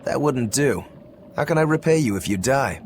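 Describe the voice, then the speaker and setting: An actor playing an odd, earnest warrior in a fantasy game, with a plain-spoken, literal manner. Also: male voice, medium pitch, lively intonation, moderate pace, a little hiss or hum, close to an everyday voice. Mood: earnest, calm. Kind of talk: dubbed fantasy game acting.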